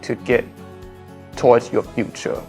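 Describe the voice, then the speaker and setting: A man's voice: medium pitch, steady tone, measured pace, dark tone, soft background music. A young man in his twenties giving a simple, earnest student testimonial. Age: 20s. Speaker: program student.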